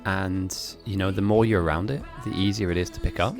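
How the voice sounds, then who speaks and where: A man's voice: sits low, fairly quick. A man with an English accent who grew up speaking German and Italian, streaming a game and chatting loosely with viewers while reading their messages. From grew up in Germany and Italy. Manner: rambling, chatty, laughs often. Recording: faint music behind.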